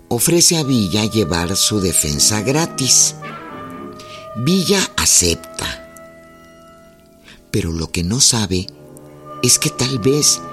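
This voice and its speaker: Un hombre con acento mexicano que narra con guion una radionovela histórica. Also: male voice, medium pitch. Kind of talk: scripted documentary-drama narration. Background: music.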